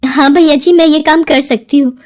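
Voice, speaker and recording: Woman voicing a high-pitched, humble young village mother. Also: steady tone, moderate pace, a little mellow, natural voice. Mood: polite, earnest. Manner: polite, pleading.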